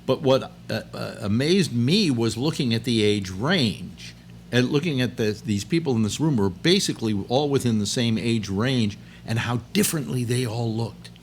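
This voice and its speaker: A man in his late seventies hosting a casual chat, rambling and halting with many restarts. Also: medium pitch, lively intonation, slight background noise. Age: 70s. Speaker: host.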